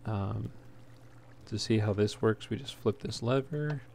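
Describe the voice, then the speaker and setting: A man's voice: fairly low pitch, unhurried, darkish tone. A man giving casual, unscripted gameplay commentary as a let's play host, talking in an easygoing, hesitant ramble. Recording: clearly noisy home recording.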